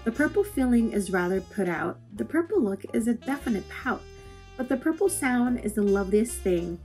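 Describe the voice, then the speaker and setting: A woman reading poetry aloud for children in an expressive style, with measured, deliberate phrasing. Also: a little high. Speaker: read-aloud host. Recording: music playing under the voice. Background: plucked guitar music.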